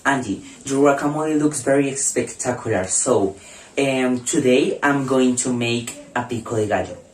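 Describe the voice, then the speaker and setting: A man's voice: mid-range voice, moderate pace, a little hiss or hum. A man with a Colombian Spanish accent, hesitantly reading a cooking segment as presenter, with fillers.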